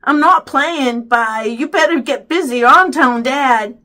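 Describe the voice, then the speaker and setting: Storybook role: bossy little kid, played by a woman, high, bright, excitable and skeptical. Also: measured pace. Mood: defiant. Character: feisty, skeptical younger child, bossy, easily alarmed.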